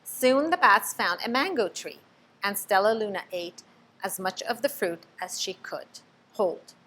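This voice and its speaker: A woman reading a storybook aloud to children in an expressive, teacherly way, pausing to ask them questions. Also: medium pitch, slight background noise, low-quality recording. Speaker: storybook reader.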